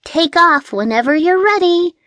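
A woman voicing an excitable, easily rattled little female cat in a children's storybook. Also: very high voice, moderate pace, no room sound, voice pushed up. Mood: excited. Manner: exclaiming, eager.

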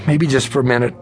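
An actor playing an exhausted, frightened patient in his thirties in an audio drama, weary and halting. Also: medium pitch, bright, forceful tone. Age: 30s. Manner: hesitant, halting. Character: exhausted, frightened, agitated thirty-five-year-old male psychiatric patient.

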